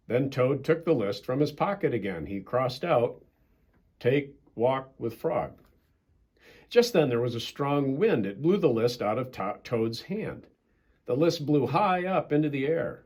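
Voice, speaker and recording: An older American man reads to children in a husky voice. His pitch moves in lively swings, and he takes his time like a storytime pastor. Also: unhurried. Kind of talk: children's storybook narration with casual intro.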